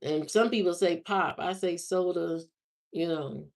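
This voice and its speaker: A woman hosting a livestream, chatting casually with viewers in a slow, drawling, musing way. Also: fairly low pitch, vocal fry. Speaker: livestream host. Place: lives in Indiana, not from there.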